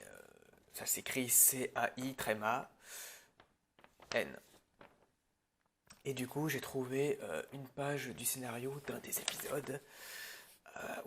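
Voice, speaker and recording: A man improvising an ASMR roleplay as a film director, talking in a halting, rambling way that often drops toward a whisper. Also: mid-range voice, creaky, fairly bright tone, a little hiss or hum.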